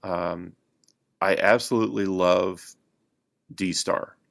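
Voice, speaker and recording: A man chatting casually as a podcast guest, halting and often pausing mid-sentence as he thinks. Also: low voice, steady tone, vocal fry. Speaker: podcast guest, radio hobbyist. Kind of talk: unscripted casual chat.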